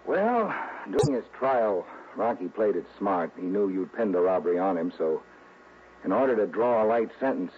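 Man acting low-voiced, matter-of-fact radio insurance man. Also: lively intonation, moderate pace, natural voice. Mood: measured, resigned. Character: worldly insurance man, former narcotics investigator. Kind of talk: 1960s radio drama acting, conversational. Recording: tinny, hissy old radio recording.

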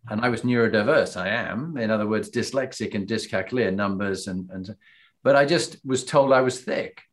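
A man's voice: fairly low pitch, moderate pace. A man hosting an interview, asking questions with frequent restarts and "um"s. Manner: hesitant, restarts and "um"s. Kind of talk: casual interview conversation.

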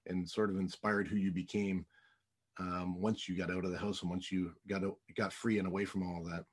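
A man's voice: low-pitched, flat delivery, fairly quick, breathy edge. A man hosting an interview, asking rambling questions with lots of fillers. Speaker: interview host. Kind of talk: casual interview chat.

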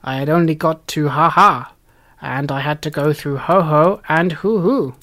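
Spiteful, cackling old crone fairy. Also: female voice, deep voice, measured pace, fairly bright tone. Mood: gloating. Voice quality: harsh, creaky, mumbling. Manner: biting off each word, cackling. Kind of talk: storybook character reading.

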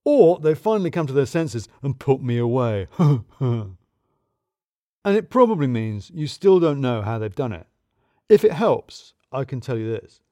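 A man's voice: mid-range voice, big pitch swings, a little slow, mellow, no room sound, studio quality. A man voicing a worried male coworker's blunt, wry farewell note. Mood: urgent.

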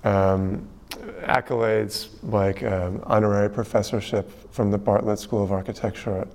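A man's voice: lowish voice, fairly even intonation, unhurried, gravelly, a little mellow. A man interviewing a guest, asking long, elaborate questions in a musing way.

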